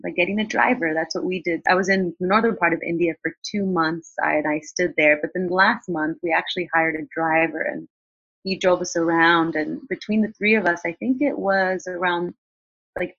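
Husky woman chatting about travel. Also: fairly low pitch, moderate pace, no room sound. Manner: chatty, relaxed, many fillers and restarts. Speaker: guest. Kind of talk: casual interview answers. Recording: dull lo-fi sound.

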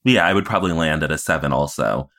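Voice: very deep voice, animated, fast talker, fairly bright tone. A podcast host chatting casually about television in an opinionated, playful way. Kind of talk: casual TV recap chat.

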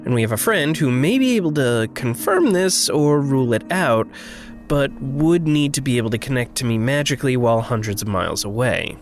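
A man's voice: mid-range voice, animated, a little fast, faint music behind, close to an everyday voice. A man playing a polite, earnest, hesitant young man in a naturalistic audio drama. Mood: careful, uneasy.

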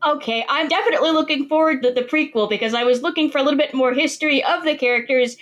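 Cheery woman podcast host, high voice, chatty, interviewing an author. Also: fast, a bit bright. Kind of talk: friendly interview chat.

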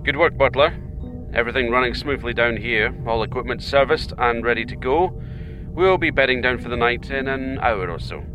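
Guy playing a scottish astronaut captain, wry and reassuring, normal pitch, music behind, sci-fi drama. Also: normal speed, natural voice, rough recording. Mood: steady, reassuring. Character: easygoing, steady Scottish male astronaut captain. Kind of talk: sci-fi audio drama dialogue.

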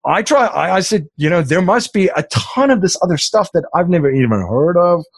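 A man's voice: high voice, animated, brisk, breathy edge, no echo, studio quality. A middle-aged man, an Afrikaans speaker, talking casually in an interview, rambling with many fillers and restarts. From South Africa. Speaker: podcast host as interview guest.

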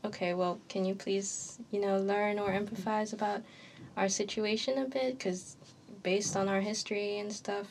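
A woman's voice: medium pitch, steady tone, moderate pace, darkish tone, a little hiss or hum. A woman in her early twenties from Hong Kong, a university student speaking earnestly and emphatically in a roundtable discussion. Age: early 20s. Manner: earnest and emphatic, with occasional laughs.

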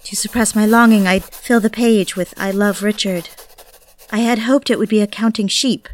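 An actress playing a desperate, obsessive mistress in an audio drama, with a needy, confessional manner. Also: female voice, medium pitch, fairly even intonation, moderate pace, a little hiss or hum, lightly acted. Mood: wistful confession. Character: desperate, obsessive mistress pleading for her lover's attention.

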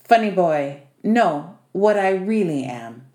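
A short, bossy male house spirit from a storybook, performed by a woman. He is wry and teasing, with a foreign turn of phrase. The tone is mellow, and the room around it echoes. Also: on the low side, lively intonation, slow pace. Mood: proud, generous. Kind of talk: audiobook character dialogue.

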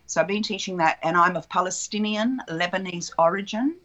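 A woman in her fifties with a notably low voice speaks in an unhurried, reflective flow, a guest sharing her life story. The sound is a little dull and lo-fi. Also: fairly bright tone. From Australia. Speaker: guest, childbirth educator. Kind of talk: relaxed interview conversation.

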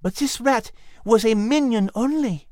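A man's voice: very high voice, unhurried, darkish tone, no room sound, studio quality, voice pushed up. A man voicing an earnest, caring female animal character in a storybook narration. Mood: grave, accusing.

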